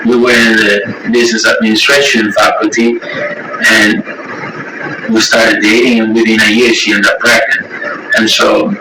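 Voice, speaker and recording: A man's voice: on the low side, little pitch movement, moderate pace, over music. Middle-aged man, candid rambling testimony, distant mic. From Ecuador. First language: Spanish. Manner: rambling, repeats words, swears. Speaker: interviewee sharing recovery story. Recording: echoey room from far off, heavy noise.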